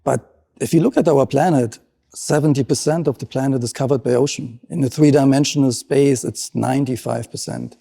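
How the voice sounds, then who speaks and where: A man's voice: medium pitch, a little fast, creaky, mellow. A middle-aged man with a German accent, a marine scientist explaining his work in an interview with earnest enthusiasm.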